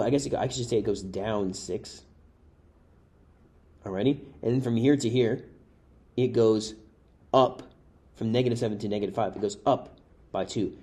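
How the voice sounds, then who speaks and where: A man's voice: mid-range voice, darkish tone. A man teaching math in a casual, chatty way, walking through worked examples while his voice is wearing out. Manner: casual, chatty, addresses viewers as guys. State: losing his voice. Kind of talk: worked-example exam review.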